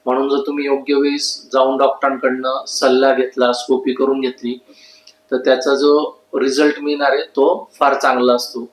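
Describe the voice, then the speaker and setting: A man's voice: medium pitch, little pitch movement, normal speed. A man explains medical advice informally, in an instructive way that repeats key points. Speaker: ENT doctor giving health advice. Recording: echoey room.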